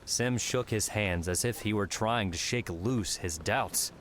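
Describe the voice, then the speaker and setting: A man's voice: lowish voice, unhurried, fairly bright tone, slight background noise. A man reading scripted fiction as an audiobook narrator.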